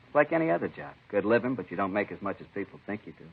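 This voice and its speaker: A man's voice: fairly low pitch, big pitch swings, rapid-fire, creaky, husky, full character voice. An actor playing a weary, bitter American prop man confessing to robbery in a 1950s radio crime drama, resigned and self-justifying in short sentences. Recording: muffled old 1950s radio recording.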